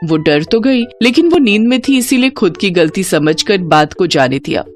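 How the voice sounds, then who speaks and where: A woman narrating a scripted story in a dramatic, expressive storytelling manner. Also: mid-range voice, moderate pace. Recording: music bed under the voice. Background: background music.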